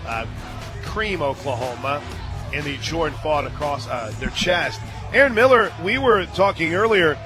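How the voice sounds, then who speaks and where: An American man calling live softball on the radio as play-by-play announcer, with folksy, joking banter. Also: a little high, animated, normal speed, music in the background. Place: stadium radio booth.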